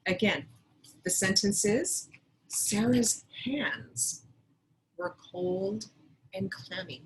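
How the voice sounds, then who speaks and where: Female teacher for children's read-aloud lesson: slow, deliberate, direct address, slight noise in the recording. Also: medium pitch, fairly bright tone, poor audio. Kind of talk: instructional read-aloud for pupils.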